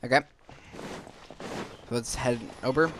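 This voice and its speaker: A man's voice: medium pitch, takes it slowly, slight background noise. A man giving casual, rambling gameplay commentary with a hesitant delivery. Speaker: gaming video narrator. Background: game music.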